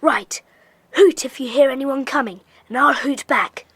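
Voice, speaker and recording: A woman playing a brisk, earnest schoolboy who leads a band of child detectives in a children's audio drama. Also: sits high, a bit bright, husky, no room sound, heavily acted. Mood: hushed, commanding. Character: Peter, bossy schoolboy leader of child detectives.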